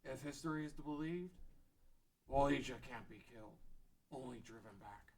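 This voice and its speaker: A gentle chieftain of rock-bodied folk acted by a man. He is grave and unsure of his own strength, in a mid-pitched voice for a fantasy reading. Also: fairly quick, natural voice. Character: gentle, self-doubting male rock-bodied Goron chief. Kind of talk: fan table read of a fantasy script.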